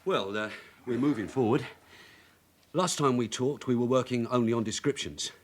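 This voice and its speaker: An actor playing a shrewd, probing veteran police sergeant, in naturalistic radio drama style. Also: male voice, mid-range voice, normal speed, a little hiss or hum, close to an everyday voice. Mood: brisk, confident. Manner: probing, knowing, colloquial. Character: shrewd veteran London police detective sergeant, persistent, coaxing.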